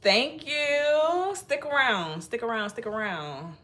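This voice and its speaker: A woman's voice: on the high side, slow, fairly bright tone. A middle-aged woman from Massachusetts, hosting a casual livestream and chatting with viewers in a shy, rambling way with many restarts. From Massachusetts, United States. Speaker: livestream host. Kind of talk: casual live chat with viewers.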